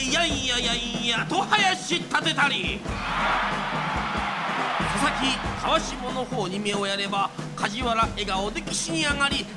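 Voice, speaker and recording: A man delivers theatrical kodan narration for a radio drama. His voice sits very high and moves in a rhythmic, declaiming style. Music plays clearly underneath.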